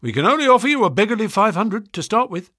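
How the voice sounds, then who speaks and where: A man voicing a brisk, flattering financial agent in a story, speaking sharply with grand, pushy salesmanship. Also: sits high, no room sound, studio quality, pitched above the performer's own voice, exaggerated voice. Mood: salesmanlike, grandiose. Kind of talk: audiobook character dialogue.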